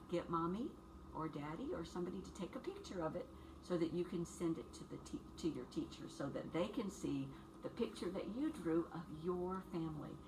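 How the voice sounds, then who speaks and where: A woman teacher doing a read-aloud for young children, talking to them in simple, encouraging phrases and questions. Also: lowish voice. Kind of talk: read-aloud story time and instructions to small children. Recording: distant mic with clear background noise.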